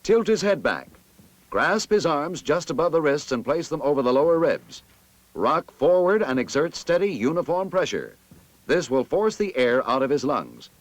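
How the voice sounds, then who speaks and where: American man narrating, animated instructional delivery. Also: a little high, moderate pace, dry recording. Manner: authoritative, instructive, clearly enunciated. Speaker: narrator of a military training film. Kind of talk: scripted instructional narration, vintage army training film.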